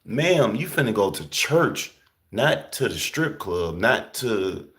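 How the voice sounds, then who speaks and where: A man's voice: sing-song, slow, fairly bright tone. Slangy man preaching to camera. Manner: emphatic street preaching, slangy, swoops high for emphasis. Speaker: host. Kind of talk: casual preaching monologue.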